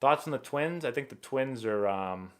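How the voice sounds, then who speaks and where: A young man hosting a live baseball stream, chatting casually and rambling excitably as he answers viewer questions. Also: mid-range voice, moderate pace. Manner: rambling and excitable, many fillers and restarts.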